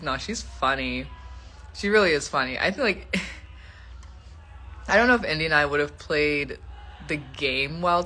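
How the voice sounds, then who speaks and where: A woman's voice: deep voice, sharp tone. A woman in her twenties hosting a casual live stream from home, chatty and laughing often as she reads out and answers viewer questions. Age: 20s. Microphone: phone.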